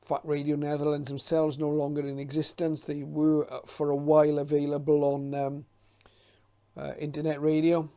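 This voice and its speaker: A man's voice: a little high, completely flat delivery, normal speed, dark tone, smooth, no echo. A middle-aged man presenting in a casual, unscripted way, with a hesitant, reflective and informative manner, as a hobbyist leafing through material.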